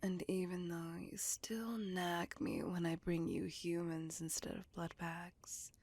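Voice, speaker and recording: Woman acting a slow, whispering, possessive vampire lover. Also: fairly low pitch, little pitch movement, darkish tone, no echo, full character voice. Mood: seductive, pleased. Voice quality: breathy whisper with husky, creaky edge. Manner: slow, intimate, coaxing yet controlling. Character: possessive, seductive female vampire doting on her captive lover. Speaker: voice actor. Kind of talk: whispered ASMR roleplay.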